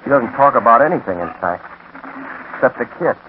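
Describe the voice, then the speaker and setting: An actor playing a young prizefighter and uneasy husband in a 1940s radio drama, plain-spoken and hesitant. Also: male voice, mid-range voice, expressive, a little fast, lightly acted. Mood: troubled, hesitant. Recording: noisy, narrow-band 1940s radio recording.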